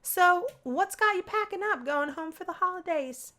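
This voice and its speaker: A woman voicing a nervous, teasing young female housemate in a visual novel, with an excitable manner. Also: very high voice, normal speed, voice pushed up, heavily acted. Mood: curious, teasing.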